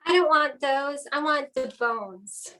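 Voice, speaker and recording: A woman's voice: sits high, takes it slowly, sharp tone, full character voice. A woman playing an impatient, demanding female customer in an audio drama. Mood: impatient, insistent. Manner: demanding, persistent. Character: impatient, insistent female pet store customer. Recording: slightly distant from the mic.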